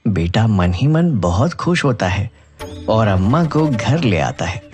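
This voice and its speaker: A man's voice: fairly low pitch, sing-song, takes it slowly, darkish tone, soft background music. A man narrating a scripted children's moral story.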